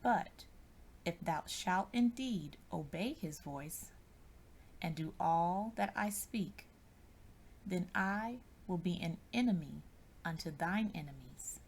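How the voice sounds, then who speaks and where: Slow, gravelly woman reading scripture. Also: on the low side, lively intonation. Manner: measured, deliberate phrasing. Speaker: scripture reader.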